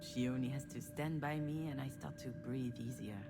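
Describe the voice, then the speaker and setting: A woman's voice: extremely low pitch, normal speed. An actress playing an introspective, wounded adult woman, a protective older sister, narrating her thoughts in a confiding, dramatic way. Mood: tender, resolute. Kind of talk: introspective scripted game narration. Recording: music under the voice.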